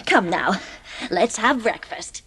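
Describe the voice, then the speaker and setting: A woman plays a plain-spoken older farm woman at a middle pitch. She talks slowly and curtly, dry and strict, in a storybook drama. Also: animated, bright, forceful tone, husky, heavily acted. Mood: matter-of-fact. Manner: dry, curt. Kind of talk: dramatised audiobook acting.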